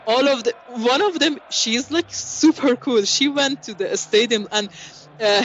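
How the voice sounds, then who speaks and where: A woman from Iran in her thirties, speaking English as a second language in an interview, with many pauses and fillers. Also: medium pitch, dull audio. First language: Persian. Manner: hesitant, many fillers and restarts. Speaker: activist. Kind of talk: interview answers.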